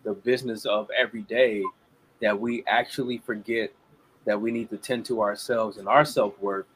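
A young man as a guest, chatting casually and acting out the lines he quotes in lively voices. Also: fairly low pitch, normal speed, a little hiss or hum, rough recording. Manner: animated storyteller, acts out quotes. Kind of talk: casual interview talk. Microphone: video call.

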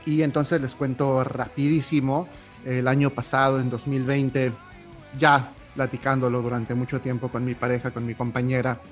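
Young Mexican man, podcast host, chatty monologue, average pitch, faint music bed. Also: little pitch movement, moderate pace. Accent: Mexican Spanish. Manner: chatty, warm-hearted, with filler "eh, pues".

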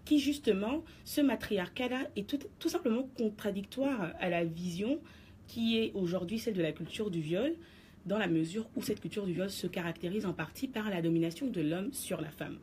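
A woman's voice: mid-range voice, normal speed, a little mellow, slight background noise. A woman giving her radio column, explaining her position to listeners in an assertive, lecturing way. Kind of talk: radio commentary segment. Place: radio studio.

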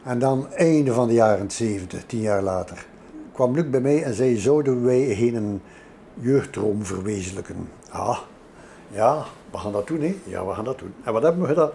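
An older man with a Flemish accent, telling informal stories from his past in an animated way as an interview guest. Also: mid-range voice, normal speed, creaky, slight background noise. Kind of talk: informal spoken reminiscence.

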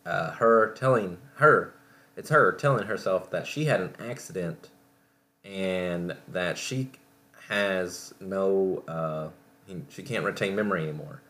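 A man hosting a podcast in casual solo talk, rambling and halting as he often corrects himself. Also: low-pitched, fairly bright tone. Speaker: podcast host.

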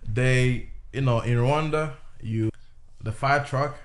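Ghana-born man, relaxed conversational guest, rambling with fillers, mid-pitched. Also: takes it slowly. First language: a Ghanaian language. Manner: relaxed, rambling, many fillers and restarts, chuckles often. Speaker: interviewee. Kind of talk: casual conversation.

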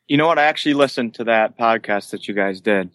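A man's voice: mid-range voice, fast, fairly bright tone, dry recording. A man in his late twenties, a band vocalist, answering casually as an interview guest. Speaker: guest, band vocalist who sings and screams.

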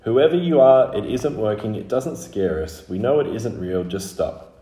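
A man's voice: on the low side, very animated, normal speed, warm tone, reverberant, pitched above the performer's own voice. A man voicing an earnest, curious, plucky young boy in a storybook. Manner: earnest, inquisitive.

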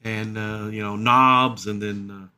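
A man's voice: fairly low pitch, steady tone, unhurried, bright, forceful tone, smooth. A man with a Kentucky accent co-hosting a casual live chat show, easygoing and agreeable, laughing often. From South Central Kentucky. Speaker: co-host.

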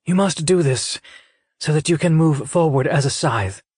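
A man voicing a stern, composed senior examiner in a narrated story, speaking in a measured, solemn, insistent way. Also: on the high side, a little mellow, slightly rough, no echo, studio quality, pitched above the performer's own voice. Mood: solemn encouragement.

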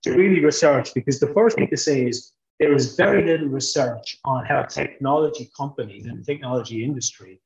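A middle-aged Irish man answering interview questions in a measured, explanatory way, sometimes restarting a phrase. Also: mid-range voice, vocal fry, reverberant, lo-fi sound. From Ireland. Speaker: guest, technology executive.

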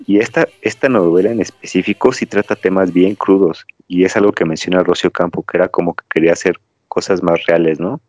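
A man's voice: low voice, steady tone, a little fast, a little mellow, dry recording. A Mexican man hosting a podcast, chatting casually and digressing as he tells stories. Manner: rambling, storytelling, digressive. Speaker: podcast host. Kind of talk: casual chat. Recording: dry, slightly dull lo-fi audio.

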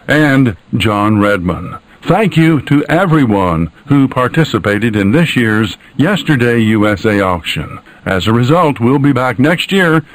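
A man's voice: mid-range voice, no echo, poor audio. A man making a scripted radio announcement as a station's founder and presenter.

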